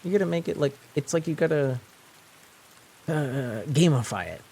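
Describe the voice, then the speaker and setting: A man's voice: a little high, expressive, moderate pace, gravelly, a little mellow, breathy edge. A young man whose first language is French, chatting casually on a live stream, rambling with lots of fillers and restarts. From French-speaking.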